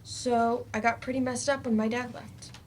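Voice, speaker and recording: Student role, female actor: slightly high, slangy, blunt and awkward, live stage reading. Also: little pitch movement, moderate pace, darkish tone, a little hiss or hum, lightly acted. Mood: awkward, casual.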